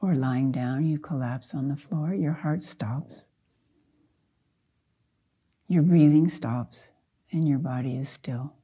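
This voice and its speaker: Older woman, dark husky low meditation voice. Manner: measured, gentle delivery in short phrases with long pauses. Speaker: Zen teacher leading a guided meditation. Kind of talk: slow guided meditation.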